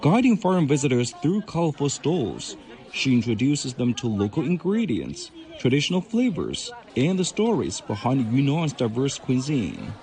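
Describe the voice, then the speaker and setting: Male reporter, mid-pitched, animated storytelling voice-over. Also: normal speed. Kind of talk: scripted news voice-over.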